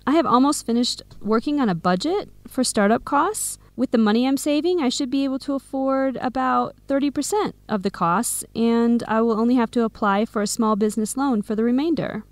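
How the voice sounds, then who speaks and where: A woman playing a supportive, hardworking young American friend in a scripted radio drama, conversational and clearly enunciated, with an American accent. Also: a little high, fairly quick, hardly any pauses, dry recording, close to an everyday voice. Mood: earnest, curious. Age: young adult.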